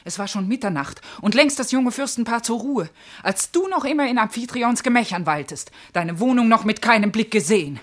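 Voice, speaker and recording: A woman playing a sharp-tongued, scorned servant wife in a German classical verse radio drama, with emphatic theatrical delivery. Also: a little high, expressive, a little fast. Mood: scolding, aggrieved. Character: sharp-tongued, scorned servant wife, scolding yet devoted to her mistress.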